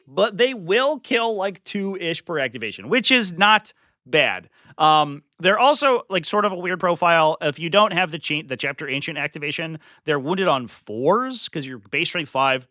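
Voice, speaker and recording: Male streamer, sniffly, chuckling and lively in pitch, up-close dry sound. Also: no echo. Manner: animated, chuckling, filler-heavy. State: sniffly with a runny nose. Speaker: live stream host. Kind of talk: casual live strategy breakdown. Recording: slightly muffled, dry sound.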